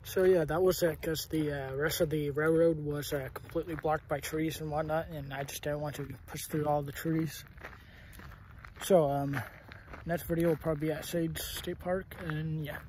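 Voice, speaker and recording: Young man, casual vlog, slightly high voice, rambling fillers, phone at arm's length, faint outdoor noise. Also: flat delivery, moderate pace, a little mellow, low-quality recording. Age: young adult. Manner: rambling, hesitant, many fillers. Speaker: vlogger. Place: outdoors on a wooded trail by a river. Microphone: phone camera at arm's length.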